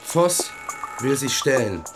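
A brisk subordinate police officer in a radio play. His mid-pitched delivery is clipped and official, with music clearly behind it. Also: expressive, rough recording. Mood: reporting. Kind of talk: radio drama dialogue.

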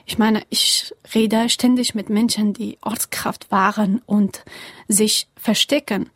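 A young woman from Afghanistan answering interview questions earnestly in halting, German, with many pauses and fillers. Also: mid-range voice, fairly even intonation, no echo, studio quality.

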